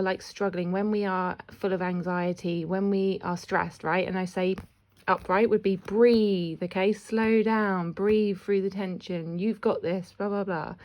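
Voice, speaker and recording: A woman with a slightly croaky voice gives a casual spiritual card reading, chatty and self-deprecating. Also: mid-range voice. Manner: chatty, warm asides, often laughs at herself. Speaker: intuitive card reader.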